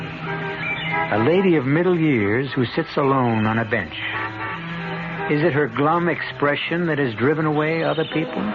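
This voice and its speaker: Old radio suspense narrator guy, weighty pauses, muffled recording, music under him. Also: medium pitch. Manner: measured, dramatic, with weighty pauses. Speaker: host and narrator of radio mystery drama.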